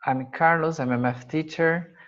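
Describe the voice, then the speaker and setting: A man from Brazil with a Brazilian Portuguese accent, a native Portuguese speaker teaching math over slides, halting and searching for his English words with frequent fillers. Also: fairly high pitch, fairly even intonation, a bit bright, lo-fi sound. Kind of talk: slide-based math lesson.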